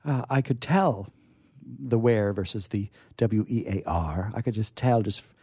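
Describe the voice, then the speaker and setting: A man hosting a radio show, making effusive, halting, repetitive introductions and comments off the cuff. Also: lowish voice, dark tone, breathy edge, dry recording, clean studio sound.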